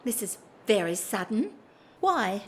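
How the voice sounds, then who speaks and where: A woman's voice: medium pitch, big pitch swings, unhurried, slight background noise. A woman playing a restless, bored aristocratic wife in a period audio drama, theatrical and wry, with emphatic swells. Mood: wry complaint. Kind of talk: period drawing-room audio drama acting.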